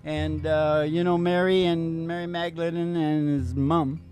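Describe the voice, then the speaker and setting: A man's voice: on the high side, level tone, a little slow, a little mellow, soft background music. A man hosting a comedy radio podcast, chatting loosely in a rambling, joking way.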